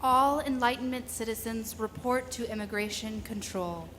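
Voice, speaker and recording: A woman's voice: a little high, moderate pace, natural voice. A woman playing a formal spaceport announcer giving official orders in a radio drama, in a reverberant hall. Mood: formal, commanding. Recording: reverberant hall, faint noise.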